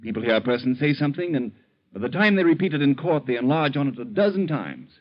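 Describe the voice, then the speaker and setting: Muffled old radio drama, male actor as folksy shrewd teller, insinuating, lively swinging intonation. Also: mid-range voice, fast talker, natural voice. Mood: storytelling. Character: mild, shrewd bank teller, slyly musing and probing. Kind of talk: 1940s radio acting. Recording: dull, lo-fi 1940s radio recording.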